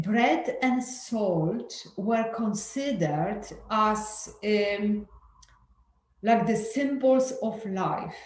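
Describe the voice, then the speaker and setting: Slow, hesitant woman. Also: mid-range voice, darkish tone. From Poland. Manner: hesitant, many fillers and restarts, candid. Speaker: guest speaker. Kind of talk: casual group talk. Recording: echoey room with faint ambient noise.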